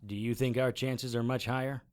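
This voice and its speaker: A man acting a brisk, commanding occult adventurer in an audio drama, instructive and matter-of-fact. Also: mid-range voice, level tone, smooth, close to an everyday voice. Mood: brisk, instructing. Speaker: lead actor and narrator. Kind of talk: audio drama acting and first-person narration.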